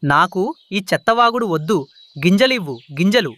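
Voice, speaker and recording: A man's voice: high voice, expressive, moderate pace, bright, forceful tone, no echo. A man playing a sly, greedy crow landlord who wheedles and bosses in a children's cartoon. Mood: demanding. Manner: scheming, wheedling, bossy.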